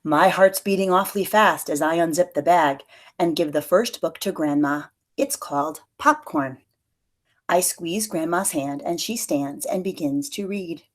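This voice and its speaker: A woman reading a children's picture book aloud in an expressive storytelling manner, like a teacher to pupils. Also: lowish voice, a little slow. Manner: expressive storytelling, acts out dialogue lightly.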